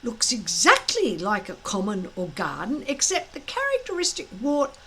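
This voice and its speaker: A woman's voice: on the high side, wildly swooping pitch, normal speed, fairly bright tone, a little hiss or hum. A woman reading an audiobook, voicing a nervous young Viking boy who mumbles. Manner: mumbling, hesitant.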